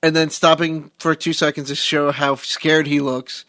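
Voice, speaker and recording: A man co-hosting a movie podcast who mostly agrees with his friend and adds his own points now and then. He has a fairly high voice that sounds dry and close, with no room echo. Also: little pitch movement, normal speed, sharp tone. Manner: agreeable, chimes in with short backchannels. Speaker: podcast co-host. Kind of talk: casual movie review chat.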